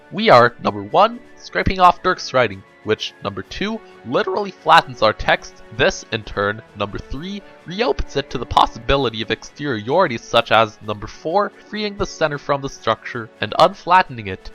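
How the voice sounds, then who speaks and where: A man's voice: mid-range voice, pushed and bright, lo-fi sound. Storybook girl leader, played by a man: professorial, earnest, emphatic, swinging delivery with music behind.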